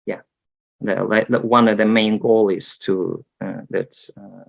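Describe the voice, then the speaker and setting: A man's voice: on the low side, level tone, a little slow, gravelly. A man in his thirties from Moldova with a Russian accent, speaking hesitantly with many fillers as an interview guest. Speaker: guest researcher. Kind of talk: casual interview talk. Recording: dull lo-fi sound.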